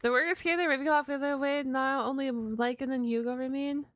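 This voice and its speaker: A woman streaming a video game, chatting casually and informally with excitable, exclamatory reactions. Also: high voice, a little fast. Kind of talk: casual live reaction chat. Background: game audio and music.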